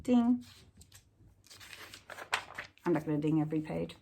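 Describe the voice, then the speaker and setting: A woman teacher reading a children's story aloud as a lesson, slightly husky, enunciating deliberately with expressive storybook emphasis. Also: low-pitched, background noise.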